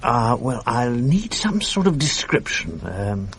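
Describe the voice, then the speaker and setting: A man's voice: medium pitch, sing-song, slow pace. An actor playing a world-weary, irritable, sardonic British man with a dry, literate manner in a radio drama. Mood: irritable, sarcastic. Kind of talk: radio drama acting, intimate first-person narration. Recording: faint background noise, archive radio broadcast.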